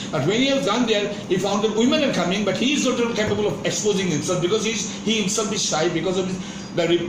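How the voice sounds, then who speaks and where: A man gives a slow, measured lecture, repeating himself and restarting phrases as he goes. His voice sits a little high and comes from across the room, thin and distant. An air conditioner hums under an echoey classroom sound. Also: noisy background. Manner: measured, repetitive, stops and restarts. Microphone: far room microphone.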